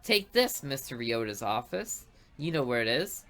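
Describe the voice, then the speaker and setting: A woman's voice: a bass voice, very animated, normal speed, voice pushed down, full character voice. A woman voicing a stern, strict female schoolteacher who scolds in a prim, formal way. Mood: scolding.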